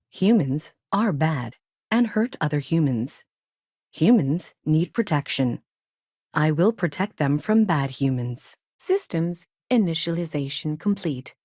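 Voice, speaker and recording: A low robotic ai lady for a space game, clipped n literal, detached, super dry sound. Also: a little mellow, no room sound, full character voice. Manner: clipped, announces each statement with a one-word label, literal. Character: self-aware female ship AI, detached, logical, childlike earnest. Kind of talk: video game character dialogue.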